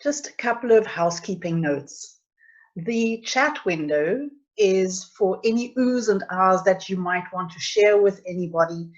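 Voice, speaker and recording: A middle-aged woman with a South African accent, hosting a travel webinar and reading viewers' chat messages aloud. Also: mid-range voice. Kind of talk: presenting a live travel webinar. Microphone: computer mic on a video call. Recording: dull lo-fi webinar audio.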